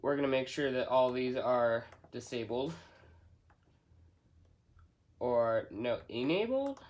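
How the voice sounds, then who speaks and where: Slow-paced man talking through a gadget setup. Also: medium pitch, lively intonation, darkish tone. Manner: halting, thinking aloud step by step. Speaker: hobbyist product reviewer. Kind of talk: unscripted unboxing and setup walkthrough.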